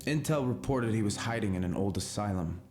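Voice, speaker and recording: A guarded, defiant male soldier played by an actor in a game cutscene. He has a lowish voice and reports in clipped, matter-of-fact phrases. Music is clearly heard behind him. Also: expressive, a little fast, darkish tone, natural voice. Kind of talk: video game cutscene acting.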